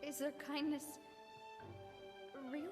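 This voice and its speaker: A woman's voice: high-pitched, monotone, takes it slowly, music in the background. An actress playing a resolute but wounded young woman leader in a dramatic video game, earnest and heartfelt. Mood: wistful, doubting.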